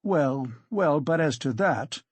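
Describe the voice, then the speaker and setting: A narrator voicing a pompous, self-righteous gentleman accuser with formal, reproachful speech in an audiobook reading. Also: medium pitch, expressive, slow, breathy edge, dry recording. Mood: flustered, placating.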